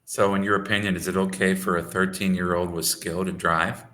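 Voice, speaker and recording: Deep-voiced American man tutoring English. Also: level tone, pushed and bright. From United States. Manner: slow, simple phrasing for a learner. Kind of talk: casual conversation lesson. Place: home in Saudi Arabia. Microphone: video call.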